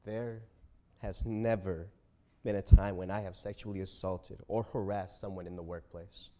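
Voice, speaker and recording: A man in a staged reading playing an embattled company boss who feigns wounded dignity, speaking haltingly and solemnly. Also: deep voice, fairly even intonation, dark tone, natural voice. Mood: indignant denial. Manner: halting, self-pitying, solemn denials. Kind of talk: staged play reading of a TV interview.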